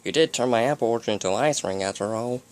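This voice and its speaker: A plain-spoken cowgirl pony from a storybook, voiced by a reader. She has a Southern US accent and a medium pitch. Her manner is folksy and matter-of-fact. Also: quick pace, close to an everyday voice. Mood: dry reproach.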